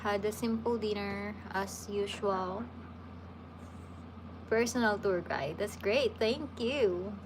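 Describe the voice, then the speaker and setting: Slow-talking woman, noisy background. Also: mid-range voice. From Philippines. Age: 30s. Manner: relaxed, chatty, with frequent restarts. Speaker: livestream host. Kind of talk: casual livestream Q&A, reading viewer questions aloud.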